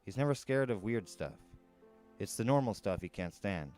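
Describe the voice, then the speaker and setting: A man's voice: lowish voice, animated, normal speed, a little mellow, faint music behind. A man narrating a first-person adventure novel in a dramatic storytelling style. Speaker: storyteller reading an audiobook.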